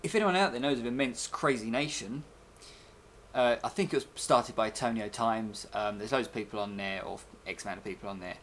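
A man's voice: mid-range voice, a little fast, fairly bright tone. Young man, noisy webcam recording. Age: young adult. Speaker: video blogger. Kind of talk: casual rambling vlog chat. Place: small bedroom, indoors. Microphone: webcam at desk, arm's length.

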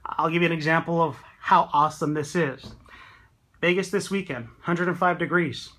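A man with a slightly high voice gives a casual, chatty tour of his half-built tiny house and points things out as he goes. He talks into a handheld phone camera inside a small wooden room. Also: fairly even intonation, normal speed, bright, forceful tone. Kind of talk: casual walkthrough vlog.

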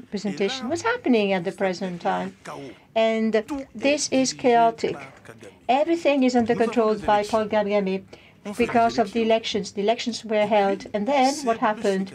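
A woman giving a live simultaneous interpretation of a conference speech, in halting, piecemeal phrases with repeated words. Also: on the high side, a little mellow.

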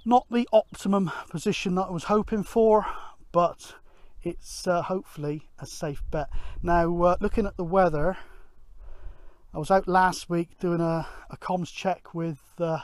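A middle-aged man gives casual outdoor vlog commentary in a rambling, halting way, thinking aloud. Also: high voice, no room sound. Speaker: amateur radio vlogger, presenter. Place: outdoors on an open grassy hillside.

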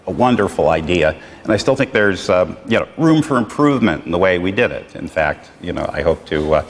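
A man's voice: low voice, fairly bright tone. A middle-aged man giving a rambling, conversational conference talk, with many fillers. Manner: rambling, loose and conversational, heavy on "you know" fillers. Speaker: conference speaker. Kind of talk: conference lecture. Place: lecture hall with projected slides. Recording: reverberant lecture hall.

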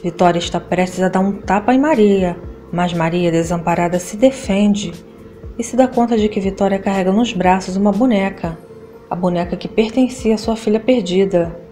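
A woman's voice: mid-range voice, normal speed, mellow, soft background music. A woman with a Brazilian accent reading a scripted soap opera recap as narrator.

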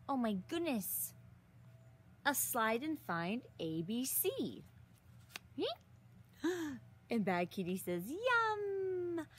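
Lady doing a kids picture book read aloud, super expressive, takes her time, outside w a bit of noise. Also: a little high. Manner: expressive, exaggerated gasps and drawn-out words for children. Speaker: teacher reading aloud to young children. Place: outdoors in a leafy garden. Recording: outdoor recording with some ambient noise.

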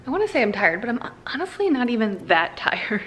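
A woman's voice: on the high side, sing-song, a little fast, a bit bright, soft background music. Casual American woman vlogger chatting. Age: 30s. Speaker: lifestyle vlogger. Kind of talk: casual chat to viewers. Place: inside a house.

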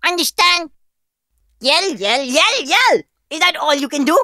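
Cartoon bunny: little cunning male, high sharp voice, excitable and put-upon. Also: no echo, clean studio sound, full character voice. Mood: exasperated. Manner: pleading, quick-witted, excitable. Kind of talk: animated cartoon acting.

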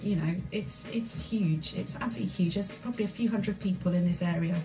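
A woman's voice: lowish voice, fairly quick, music in the background, rough recording. A woman, a guest on a radio show, explaining her chorus enthusiastically and fluently. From England. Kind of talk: radio interview. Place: community radio studio.

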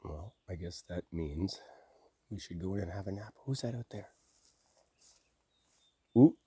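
A man playing a deep-voiced, soft-spoken cozy boyfriend. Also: breathy edge, dry recording, exaggerated voice. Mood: quietly curious. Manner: soft, drowsy, intimate, unhurried. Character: affectionate, easygoing boyfriend on a trailer getaway. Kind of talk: boyfriend ASMR roleplay.

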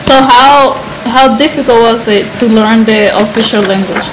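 A woman's voice: on the high side, moderate pace. A woman interviewer asking questions hesitantly, with fillers. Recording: street noise behind.

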